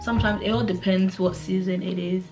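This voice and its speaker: A woman's voice: medium pitch, brisk, over music, low-quality recording. Chatty young African woman vlogger. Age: 20s. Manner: chatty and relaxed, with fillers and restarts. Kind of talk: casual chat to camera.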